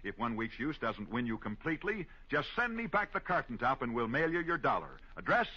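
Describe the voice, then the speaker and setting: An American man delivering a vintage radio commercial as a pitchman. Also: sing-song, brisk, pushed and bright, husky. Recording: dull lo-fi old radio broadcast.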